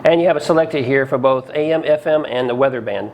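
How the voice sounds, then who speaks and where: A man's voice: mid-range voice, quick pace, lo-fi sound. A man hosting a casual product review, talking in a plain-spoken, folksy, conversational way. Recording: clearly noisy background ambience.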